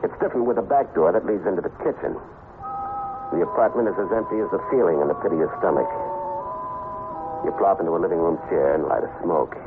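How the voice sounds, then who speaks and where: An American actor playing a tough, wisecracking private detective with a sardonic, clipped, slangy delivery in a 1950s radio crime drama. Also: male voice, sits low, fast talker, pauses often. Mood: terse, brooding. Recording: noisy, narrow-band 1950s radio recording.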